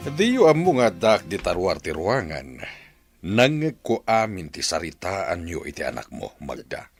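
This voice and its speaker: A man's voice: sits low, big pitch swings, moderate pace, creaky, soft background music, full character voice. An actor with a Filipino accent playing an indignant, disappointed man in a radio drama, speaking in a reproachful, dramatic way. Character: indignant, disappointed man confronting schemers he overheard.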